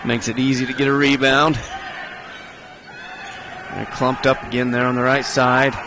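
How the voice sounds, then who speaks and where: Mid-pitched male analyst breaking down sets like a coach, court noise plain underneath. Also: level tone, moderate pace. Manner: coach-like breakdown of plays and spacing. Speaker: color commentator. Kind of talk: basketball color commentary. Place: gym at a high school basketball game. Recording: game broadcast with gym noise. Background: crowd and court noise of the game.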